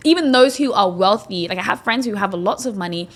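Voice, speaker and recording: A woman, a podcast guest talking in an animated, emphatic way with many fillers. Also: mid-range voice, a little fast. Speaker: guest, investor. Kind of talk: conversational podcast interview.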